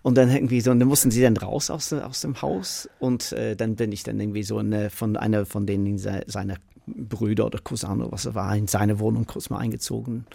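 A man's voice: lowish voice, little pitch movement, fairly quick, creaky, warm tone, husky, no room sound. An older man from Manchester, a native English speaker with an English accent, tells casual anecdotes as an interview guest in a rambling way, repeating words. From Manchester, England.

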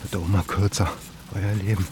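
A man performs an anxious, tender-hearted farm hand in a radio play. His low voice murmurs softly and intimately, as if to a beloved animal. Farm noise and animals are clearly audible around him. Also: little pitch movement, moderate pace, lightly acted. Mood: tender, reassuring. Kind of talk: intimate naturalistic German radio play monologue.

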